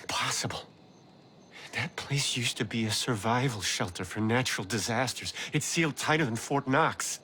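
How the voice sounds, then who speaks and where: An actor playing a shaken, anxious former prisoner in a video game cutscene, talking urgently. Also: male voice, medium pitch, normal speed, bright, forceful tone, a little hiss or hum, natural voice. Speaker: released prisoner.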